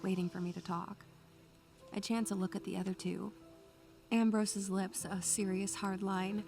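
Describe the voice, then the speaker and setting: Woman doing bookish anxious young student girl narrating a gothic audio drama, bit of vocal fry. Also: medium pitch. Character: bookish, anxious nineteen-year-old female student narrating her memories. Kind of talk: atmospheric first-person scripted narration.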